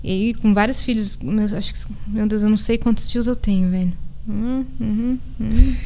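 A woman's voice: medium pitch, fairly even intonation, moderate pace, dark tone, slight background noise. A woman, a podcast guest in casual chat, telling a family story with slangy fillers.

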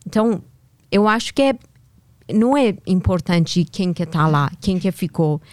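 A woman's voice: lowish voice, expressive, darkish tone, no room sound. Woman with a strong Persian accent. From Iran. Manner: halting, searching for words. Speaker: podcast guest. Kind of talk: casual interview answers.

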